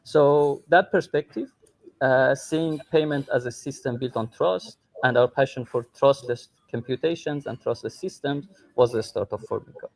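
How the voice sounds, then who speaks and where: A man's voice: mid-range voice, moderate pace, creaky, no echo, low-quality recording. A man from Iran speaking conversationally as a tech co-founder and guest on a livestream call. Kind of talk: conversational self-introduction on a livestream. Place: airport, remote call.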